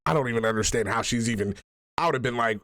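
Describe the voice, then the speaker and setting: A man giving casual, excitable reaction commentary full of slang and swearing. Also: mid-range voice, fast, noisy background. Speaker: reaction streamer.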